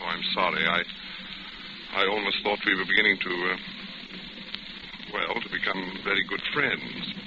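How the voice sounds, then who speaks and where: Actor as scheming man, lowish voice, self-assured, insinuating, 1940s radio drama, clearly noisy recording. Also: steady tone, normal speed, pauses often, highs cut off, lightly acted. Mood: politely probing. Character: smooth, scheming male fugitive, coolly persuasive.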